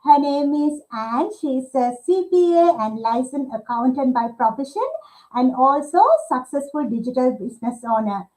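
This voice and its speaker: A woman from Sri Lanka hosts a live online chat in a high voice. She speaks in a hesitant way and fills her pauses with many uhs. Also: darkish tone, low-quality recording. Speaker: livestream host.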